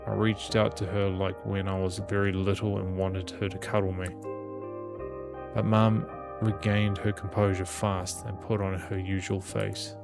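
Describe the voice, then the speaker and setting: A man with a lowish, gravelly voice narrates a visual novel aloud. His intonation stays fairly flat, and he trips on the odd word as music plays softly behind. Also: unhurried. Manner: reads aloud with small stumbles. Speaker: gaming channel host reading the game aloud. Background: game music.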